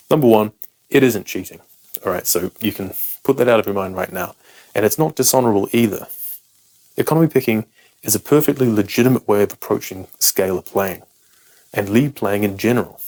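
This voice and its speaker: A man's voice: lowish voice, a little mellow. A man with a British accent, a native English speaker, talks to camera as a guitar teacher, explaining picking technique in a frank, self-deprecating and emphatic way.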